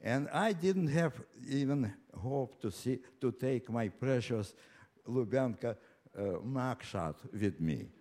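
A man of ninety-nine from Ukraine with a Russian accent, telling his own story live in a halting, deliberate way with repeated words and pauses. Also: mid-range voice, expressive, dark tone. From Ukraine, later Moscow. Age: 99, nearly one hundred. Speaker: personal storyteller. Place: live stage before an audience.

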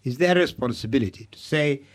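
A middle-aged man from Uganda, answering interview questions in a measured, deliberate way with pauses and fillers. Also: medium pitch, expressive.

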